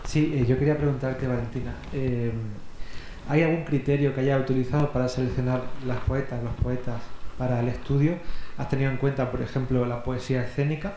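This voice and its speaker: A man's voice: mid-range voice, steady tone, brisk, dark tone, very echoey, a little hiss or hum, poor audio. A man in the audience asking a direct question.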